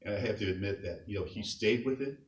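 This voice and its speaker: A man's voice: fairly low pitch, quick pace, distant mic, muffled recording. A middle-aged man, a scout leader, gives an informal eulogy in a conversational way, sharing light anecdotes and chuckling now and then. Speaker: scout leader speaking at a memorial. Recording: echoey hall, speaker off-mic, faint noise.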